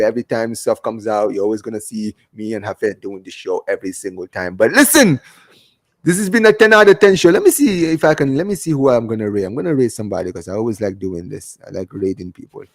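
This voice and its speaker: A man's voice: medium pitch, dry recording. A man hosts a live football show in a mid-range voice with very little room sound. He talks casually and slangily to his viewers. He often repeats and trips over phrases as he reads their comments. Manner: slangy, repeatedly addressing listeners as man, bro and guys; stumbling, repetitive delivery. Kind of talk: casual livestream chat, reading out viewer comments.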